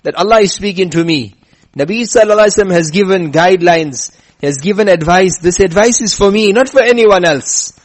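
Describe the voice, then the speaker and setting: A man giving a religious lecture who tells a story and slows down to stress his points. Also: high voice. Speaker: preacher. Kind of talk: religious lecture with chanted scripture.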